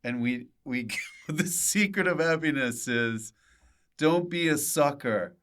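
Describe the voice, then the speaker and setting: A man's voice: fairly high pitch, expressive, slow pace, fairly bright tone. A man hosting a podcast in casual, jokey conversation, informal and playful. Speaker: podcast host. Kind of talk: casual comic podcast chat.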